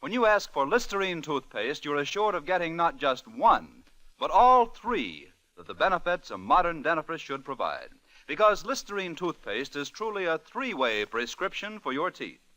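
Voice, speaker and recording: A man's voice: on the high side, sing-song, normal speed, pushed and bright, no room sound. A man reading a 1940s radio commercial as an announcer, in an emphatic, formal sales style. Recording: old 1940s radio recording with music.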